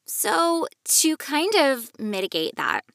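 A woman's voice: high-pitched, big pitch swings, slow pace, creaky, a bit bright, no echo. A woman hosting a podcast, giving explanatory, conversational advice into a close microphone. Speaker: podcast host and voiceover coach.

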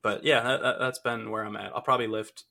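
A young man co-hosting a fitness podcast, a little congested and nasal from a cold, talking in a relaxed, chatty way with lots of fillers. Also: lowish voice, brisk, vocal fry. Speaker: fitness coach and podcast co-host. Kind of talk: casual podcast chat.

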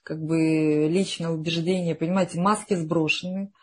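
Husky opinionated woman. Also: low-pitched, steady tone, moderate pace. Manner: frank, opinionated, drops to a quieter tone. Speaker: podcast guest. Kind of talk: casual conversation.

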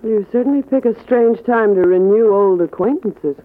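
An American actress playing a sassy young dame in a 1940s radio crime drama. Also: female voice, mid-range voice, moderate pace, natural voice. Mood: cool, guarded. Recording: tinny band-limited old radio broadcast.

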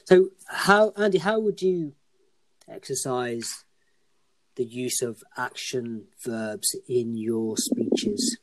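A man's voice: medium pitch, slow, dry recording, rough recording. A man co-hosting a podcast discussion, speaking in formal, elaborate sentences with frequent restarts, losing his voice. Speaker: podcast co-host.

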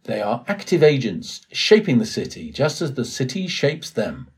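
A man reading a written essay aloud as scripted narration, in a formal, measured way. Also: medium pitch, wildly swooping pitch, darkish tone, slightly rough. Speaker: volunteer reader of an audio blog post.

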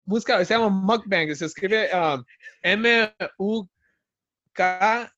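Slightly muffled higher-pitched man, chatting. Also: fairly bright tone. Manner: halting explanations, mixes in English words, often seeks agreement. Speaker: podcast guest, stand-up comedian. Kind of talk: casual chat.

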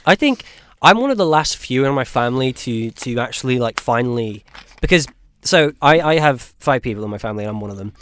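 A man's voice: mid-range voice, expressive, a little fast, fairly bright tone, a little hiss or hum. An eighteen-year-old man co-hosting a podcast, chatting casually with rambling, jokey talk full of fillers and restarts. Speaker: podcast co-host. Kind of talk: casual chat.

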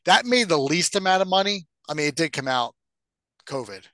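A man with a slightly high voice talks as a podcast co-host, recorded close and dry. His delivery is casual and opinionated, with blunt phrasing and easy swearing. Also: sing-song, brisk, fairly bright tone, no room sound. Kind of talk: casual opinionated film and game chat.

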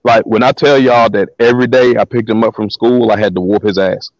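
Guest dad, deep voice, laid-back slangy storyteller, dull dry line. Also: male voice, brisk, no echo. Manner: relaxed, slangy, repeats words as he talks. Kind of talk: casual storytelling interview.

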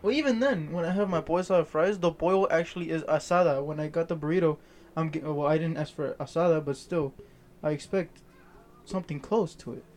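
A slightly higher-pitched man chatting casually with his friends. He is blunt and profane, joking around between complaints. He talks plainly with no strong accent. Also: fairly quick, darkish tone, a little hiss or hum. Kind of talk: casual chat among friends.

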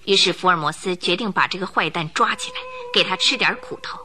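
A woman's voice: on the low side, a little fast, bright, forceful tone, slight background noise. A woman narrating a radio drama in a vivid, dramatic storytelling manner.

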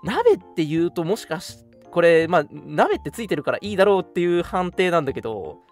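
A young man co-hosting a radio show, chatting casually in an animated way and readily agreeing with his partner. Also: a little high, fast talker, faint music behind. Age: young adult. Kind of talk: casual chat.

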